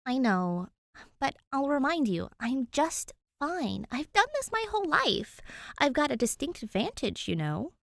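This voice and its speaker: A stubborn young girl adventurer in an audio story, played by a woman narrator. She is insistent and casually defiant, with a slightly raised pitch in a dry, close recording. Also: sing-song, measured pace, creaky, no room sound, exaggerated voice. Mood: breezy, defiant.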